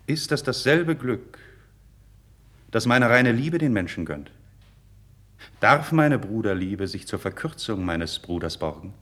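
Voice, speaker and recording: Low-voiced, fervent idealist nobleman. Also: very animated, unhurried, long pauses, a bit bright, exaggerated voice. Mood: impassioned. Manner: declamatory, earnest, formal stage diction. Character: idealistic young nobleman, passionate, proud, candid before his king. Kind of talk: 1950s German radio drama, classical verse acting.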